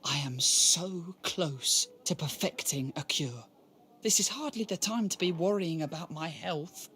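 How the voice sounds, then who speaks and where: An actor playing an ailing, devoted young male scholar, speaking with earnest, formal intensity and a frail, breathy voice in a dramatic game style. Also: a little high, unhurried, pushed and bright. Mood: determined.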